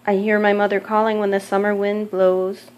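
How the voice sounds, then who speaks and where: Mother reading a picture book: female, mid-pitched voice, measured and expressive storytelling delivery. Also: muffled recording. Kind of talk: children's picture book read-aloud.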